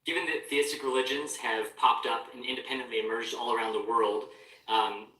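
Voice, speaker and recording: A man's voice: medium pitch, steady tone, fairly quick, pushed and bright. A man in the audience asking a formal, fluent, prepared question. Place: large hall with a live audience. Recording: echoey hall, voice from far off.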